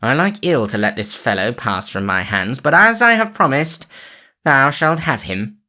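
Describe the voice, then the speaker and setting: Guy putting on a gloating scheming sheriff, pitched up, gravelly. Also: expressive, moderate pace, pushed and bright.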